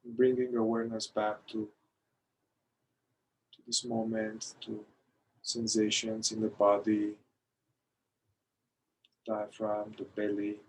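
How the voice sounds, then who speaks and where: A man leading a guided meditation in a calm, gentle manner, pausing between short phrases. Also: fairly low pitch, rough recording. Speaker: meditation guide, somatic therapist.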